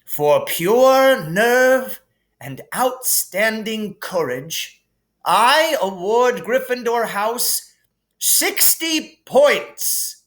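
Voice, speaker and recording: A man voicing an elderly, wise and kindly wizard headmaster with a stately, deliberate delivery, as in a storybook reading. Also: high voice, very animated, fairly bright tone, pitched above the performer's own voice, heavily acted. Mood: proud, solemn.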